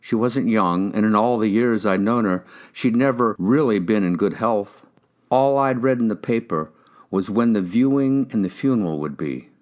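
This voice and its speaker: A man with a low voice narrates a crime novel in the first person. It is a scripted audiobook reading by an actor. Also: normal speed, a little mellow.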